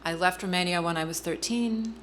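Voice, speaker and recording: A middle-aged woman telling a personal family story in an interview, earnest and halting, with frequent ums and restarts. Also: fairly low pitch, fairly bright tone, a little hiss or hum. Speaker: guest speaker.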